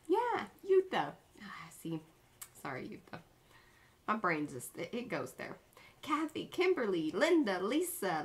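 Woman in her thirties, bubbly livestream host, animated sing-song voice, talking to a webcam in a home art studio. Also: medium pitch. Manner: bubbly and chatty, with playful made-up words. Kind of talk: casual livestream chat, greeting viewers by name. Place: home art studio, indoors, small cluttered room.

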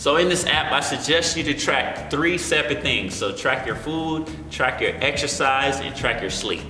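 A man's voice: medium pitch, moderate pace, sharp tone. A young American man giving informal, encouraging fitness instruction to camera in a gym, often checking in with the listener. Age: young adult. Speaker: fitness coach and video host. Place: large indoor gym. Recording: echoey, reverberant room with music behind. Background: music.

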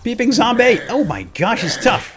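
A man's voice: extremely high pitch, wildly swooping pitch, normal speed, over music. A man hosting a let's play, commentating playfully and exclaiming often as he talks to himself and to viewers. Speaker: gaming video host.

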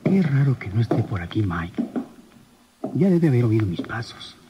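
Henchman, male actor: jittery muttered soliloquy, animated pitch, noisy vintage radio serial recording. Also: normal speed, muffled recording, natural voice. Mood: puzzled, uneasy. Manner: agitated muttering to himself. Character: nervous gangster henchman, fearful of his boss. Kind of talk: old radio serial monologue.

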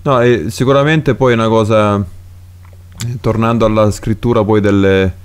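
A man's voice: fairly low pitch, fairly even intonation, moderate pace, clean tone. A man hosting a podcast, chatting casually and asking his guest questions. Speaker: podcast host.

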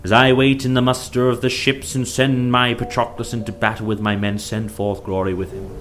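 A man's voice: medium pitch, flat delivery, a little fast, fairly bright tone, close to an everyday voice. A man voicing a proud warrior hero who prays fervently, declaiming in an epic tale. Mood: fervent, pleading.

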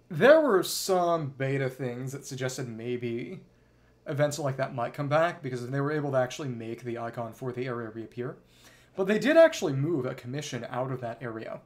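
A man streaming a video game, thinking aloud in casual, rambling commentary and reading bits of dialogue. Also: medium pitch. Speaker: livestreamer playing a video game.

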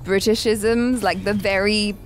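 A woman's voice: mid-range voice, fairly even intonation, measured pace, a little hiss or hum. A young adult woman in casual reaction chat, speaking into a headset mic as a show host. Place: small indoor room, seen at desk. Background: video game music and sound effects.

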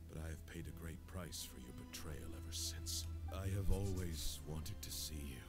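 Deep-voiced, solemn old temple leader. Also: male voice. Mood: stern, aggrieved. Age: older. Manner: measured, formal, imposing. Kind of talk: dramatic video game narration and dialogue. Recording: game music behind the voice. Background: game music.

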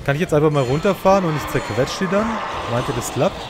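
A man's voice: fairly high pitch, lively intonation, fast, loud music behind the voice. A man giving casual, slangy gaming commentary, playfully griping and talking to the game's characters. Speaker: let's player.

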